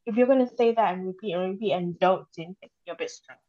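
A woman's voice: mid-range voice, racing, lo-fi sound. A woman co-hosting a casual podcast chat in a blunt, insistent way, recorded a little dully. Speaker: podcast co-host.

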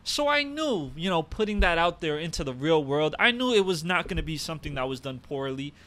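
A man's voice: on the high side, very animated, fairly quick, a bit bright. A young man co-hosting a podcast, joking around in casual banter with lots of fillers, recorded on a table mic. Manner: joking, swears freely, many fillers. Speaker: podcast co-host. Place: small room at a table.

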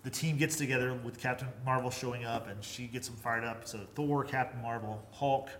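A man's voice: medium pitch, fairly even intonation, fairly quick, fairly bright tone. A man co-hosting a podcast, discussing a film casually in informal, slangy language. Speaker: podcast co-host. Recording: roomy echo, faint background noise.

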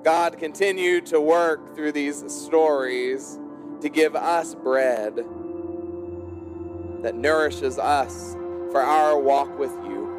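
A man's voice: fairly high pitch, slow pace, over music. A man speaking as a pastor, leading prayer and preaching to his congregation. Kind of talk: church prayer and sermon.

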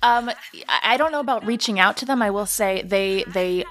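A woman's voice: mid-range voice, normal speed, a bit bright. A woman chatting casually as a podcast guest about her creative work, earnest and enthusiastic. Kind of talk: casual interview chat.

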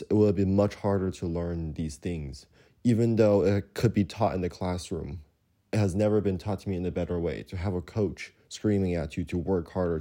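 A man's voice: deep voice, mellow. A teenage boy hosting a student podcast, reading his script earnestly with a few fillers.

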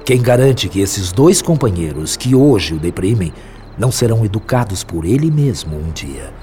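A wise male spirit mentor in a radio drama. His lowish voice moves expressively as he teaches with formal, fatherly compassion. Music plays clearly behind his measured phrases. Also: sing-song, mellow. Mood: compassionate. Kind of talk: radio drama acting, solemn discourse.

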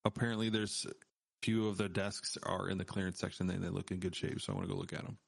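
A man's voice: low voice, fairly even intonation, fast, gravelly, dry recording, clean studio sound. A man co-hosting a podcast in casual chat, laid-back and rambling, swearing freely. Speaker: podcast co-host.

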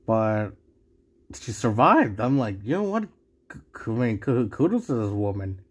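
A man's voice: fairly low pitch, very animated, normal speed. A man from Mexico hosting a solo podcast, talking casually in a rambling way with many restarts and asides. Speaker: podcast host.

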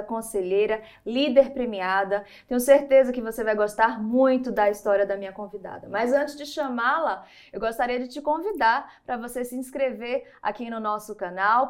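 A woman from Bahia hosting an interview podcast, friendly and measured as she reads introductions. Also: a little high. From Bahia, Brazil. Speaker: podcast host.

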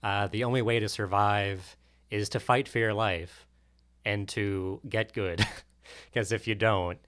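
A man with a fairly low voice chats on a podcast panel. He debates and chimes in casually, in an informal conversational style. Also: fairly even intonation, a little slow, a bit bright.

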